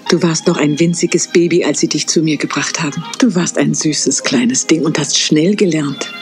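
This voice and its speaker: A woman's voice: low voice, moderate pace, faint music behind. A woman voicing a caring mother cat in a cartoon dub, speaking in a firm, protective way. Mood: tender. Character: caring motherly cat who raised a squirrel. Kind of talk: 1980s German cartoon dub.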